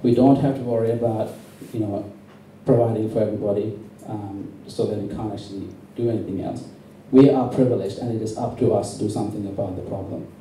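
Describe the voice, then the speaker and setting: A man from Sri Lanka with a very dark, muted voice delivers an intense political speech. He is emphatic and deliberate. He is heard from a distance in a heavily echoing room. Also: on the low side, fairly even intonation. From born in Sri Lanka. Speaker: campaign speaker at a public meeting. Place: indoor public meeting venue. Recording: very echoey, distant, faintly noisy hall recording.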